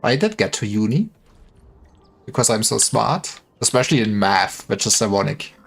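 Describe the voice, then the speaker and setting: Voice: mid-range voice, big pitch swings, moderate pace, gravelly, soft background music. A game streamer chatting casually on a livestream, thinking aloud and breaking off mid-thought. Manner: thinking aloud, self-interrupting.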